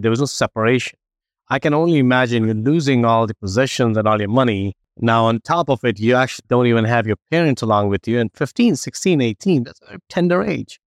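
A man's voice: medium pitch, a little fast, no room sound, studio quality. A man with an Indian accent hosting a podcast interview, friendly and rambling with many restarts. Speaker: podcast host. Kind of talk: casual interview chat.

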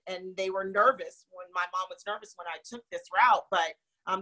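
A woman from North Carolina speaks as an interview guest, her voice bright and clear. She tells her story earnestly and expressively, with an easy laugh. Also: lowish voice, poor audio. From North Carolina, raised in North Florida. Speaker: guest, magazine founder.

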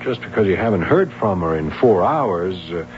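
An actor playing a weary, hesitant, tactful police sergeant in a 1970s radio drama. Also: male voice, low voice, sing-song, darkish tone. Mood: placating. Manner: halting, tactful, official. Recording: noisy, dull old radio broadcast.

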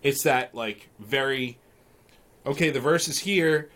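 A man's voice: medium pitch, takes it slowly, a bit bright. A man co-hosting a podcast, chatting casually and rambling through long questions with many restarts. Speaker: podcast co-host.

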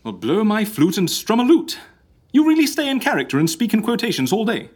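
A fussy British man, extremely lively pitch, chatty, exasperated, colloquial, audio comedy drama. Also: fairly quick, breathy edge, heavily acted. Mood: incredulous. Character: chatty, excitable, exasperated modern office worker and Shakespeare fan.

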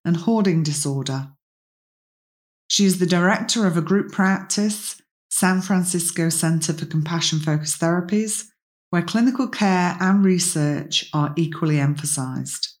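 Low pitched woman host, encouraging, lots of yeahs. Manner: warm, encouraging, frequent affirming yeahs. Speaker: podcast host. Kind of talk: interview hosting.

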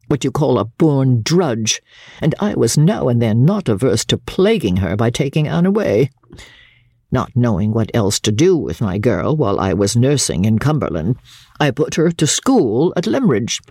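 Woman playing a haughty, bitter Victorian matron, wildly swooping pitch. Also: darkish tone, slightly rough, no room sound, clean studio sound, full character voice. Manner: sardonic, disdainful, theatrically deliberate. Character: bitter, haughty, scheming middle-aged Victorian mother, sardonic and cold. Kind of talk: dramatic audiobook reading of classic novel.

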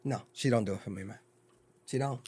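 A man co-hosting a podcast, chatting casually and joking, with animated swings into high mock voices. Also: a little fast, slightly rough, no echo. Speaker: podcast co-host. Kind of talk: casual banter.

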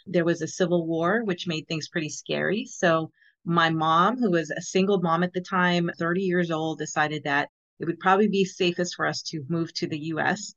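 A woman's voice: on the low side, fairly even intonation, normal speed, a bit bright, highs cut off. A woman in her fifties from Los Angeles, born in El Salvador, telling her own story casually as a podcast guest, often dropping in "you know". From born in El Salvador, grew up in Los Angeles. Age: 50s. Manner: conversational, peppered with "you know".

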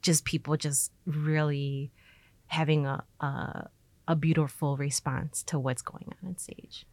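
A woman's voice: sits low, level tone, a little slow, a little mellow, no room sound. A woman talking casually as an artist being interviewed, telling things informally with quoted asides.

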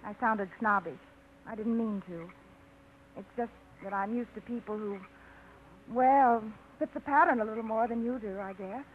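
An actress playing an eager small-town woman in a 1950s radio drama, bright and a little flustered. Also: fairly high pitch, moderate pace, lightly acted. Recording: tinny, hissy 1950s radio broadcast.

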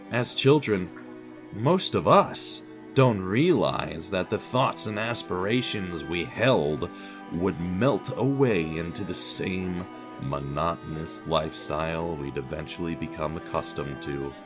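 Low-voiced man narrating with a lively range. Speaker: narrator of an audio fiction podcast. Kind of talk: scripted dramatic storytelling narration.

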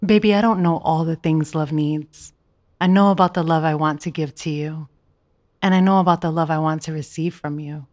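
A woman's voice: low voice, steady tone, moderate pace, darkish tone, close to an everyday voice. A woman playing a seductive, sweet-talking lover in an audio drama. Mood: loving, coaxing.

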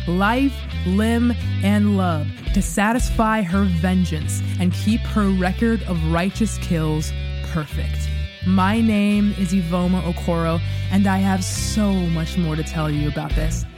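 A woman narrates a scripted podcast promo as a confiding, theatrical storyteller. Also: medium pitch. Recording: clear music bed underneath. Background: guitar music.

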